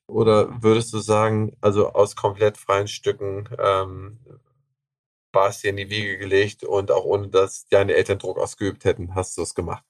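A man's voice: fairly low pitch, flat delivery, normal speed, dry recording. A man hosting a podcast interview, talking in an enthusiastic, conversational way with some filler sounds. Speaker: podcast host.

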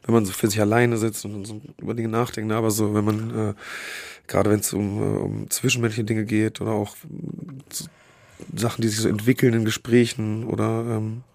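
Hoarse, gravelly man with a cold. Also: on the low side, flat delivery, a little mellow, dry recording. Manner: halting, searching for words with many pauses. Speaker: podcast co-host. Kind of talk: casual chat.

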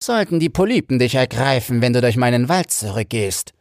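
A man's voice: medium pitch, animated, no echo, clean studio sound, voice pushed up, lightly acted. A man voicing a greedy, menacing female sea witch in a storybook reading, drawing out the words with relish. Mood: gloating. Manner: slow, drawn-out, relishing menace.

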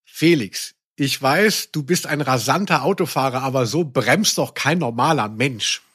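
A man's voice: mid-range voice, measured pace, a bit bright, husky. A man co-hosting a podcast in humorous casual chat, wordy and mock-indignant. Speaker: podcast co-host.

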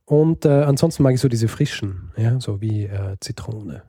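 Male podcast co-host, very dark mellow voice, hesitant and filler-heavy, dry close mic. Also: lowish voice, normal speed, slightly rough, dry recording. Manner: hesitant, many fillers and restarts. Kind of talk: casual podcast chat.

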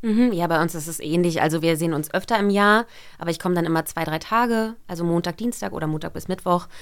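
Low-voiced voice actress in interview. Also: female voice, fast talker, clean tone. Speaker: voice actress guest. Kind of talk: casual interview chat.

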